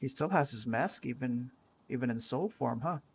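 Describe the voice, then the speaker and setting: A woman giving wry, relaxed gameplay commentary and reading dialogue choices aloud. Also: rumbling low, takes it slowly, vocal fry, breathy edge. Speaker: gamer, video creator. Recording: game music behind voice.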